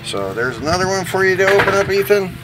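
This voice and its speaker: A man's voice: sits high, sing-song, fast. A middle-aged American man giving casual, informal unboxing commentary with rambling asides. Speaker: toy car collector hosting an unboxing. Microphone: handheld camera or phone mic. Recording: home camera with clear background noise.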